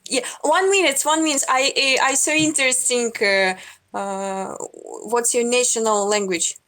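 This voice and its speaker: A woman's voice: fairly high pitch, normal speed, fairly bright tone. An eighteen-year-old woman from Russia, chatting on a video call in simple, broken English and giggling often. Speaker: stranger on a video chat. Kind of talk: casual video chat.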